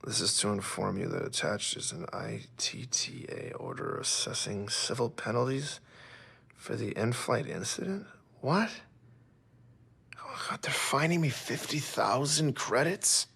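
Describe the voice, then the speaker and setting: A man playing an indignant journalist in an audio drama, rough-voiced and agitated with disbelief. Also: medium pitch, expressive, a little slow, sharp tone, full character voice. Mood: incredulous. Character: dazed, indignant male airline passenger and journalist.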